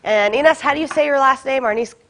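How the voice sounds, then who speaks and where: A woman's voice: sits high, fast, fairly bright tone. A woman hosting a live studio interview show, welcoming guests and prompting viewers to comment. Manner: upbeat, inviting viewer comments. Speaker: show host.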